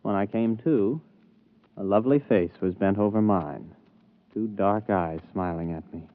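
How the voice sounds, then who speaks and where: A man's voice: lowish voice, measured pace, narrow, tinny audio, a little hiss or hum, natural voice. An actor playing a wry, flustered rocket captain who narrates his story, in a 1950s radio drama. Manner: hesitant, stammering in dialogue. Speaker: narrator and lead. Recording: old telephone-thin radio broadcast.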